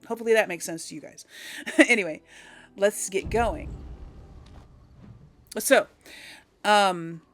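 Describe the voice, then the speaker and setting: A woman's voice: medium pitch, a bit bright. A woman giving casual let's play commentary, chatting to her viewers and thinking aloud as she hosts. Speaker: host of a video game role-play series.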